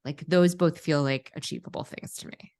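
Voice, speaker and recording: Woman, podcast co-host, lowish pitch, casual reflective conversation. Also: steady tone, normal speed, darkish tone.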